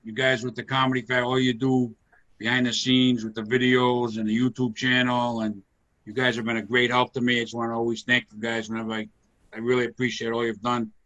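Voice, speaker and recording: Bright-voiced man hosting a chat. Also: mid-range voice, little pitch movement, fairly quick, dull audio. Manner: chatty, encouraging, repeats words. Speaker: host. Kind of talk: casual video call chat. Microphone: video call.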